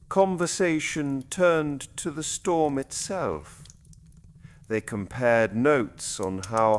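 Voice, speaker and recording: A man narrating a bedtime story in a soothing, gentle storyteller's way, with phrases that fall softly at the ends. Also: mid-range voice.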